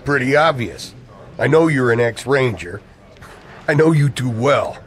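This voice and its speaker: A man's voice: medium pitch, lively intonation, measured pace, close to an everyday voice. An actor playing an edgy, secretive man in radio play dialogue, coy and evasive with an old friend. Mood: sly, confident. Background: music.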